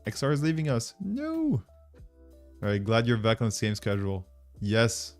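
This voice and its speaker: A man's voice: medium pitch, huge pitch swings, normal speed, soft background music. A man with a French accent hosting a casual livestream, talking to viewers in an informal, rambling way as he thinks aloud. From France. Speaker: livestream host.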